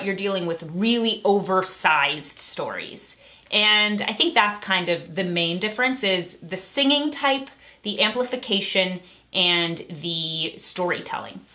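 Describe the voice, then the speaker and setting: A woman's voice: medium pitch, bright, forceful tone. A young woman hosting her own channel, answering viewer questions in an upbeat, enthusiastic, teacherly way. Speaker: opera singer and channel host. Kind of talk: casual Q&A to camera.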